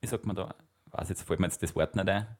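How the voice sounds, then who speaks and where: A man's voice: low-pitched, quick pace, darkish tone. A man in his thirties with an Austrian accent, co-hosting a podcast in casual, jokey chat full of self-mockery. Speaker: podcast co-host.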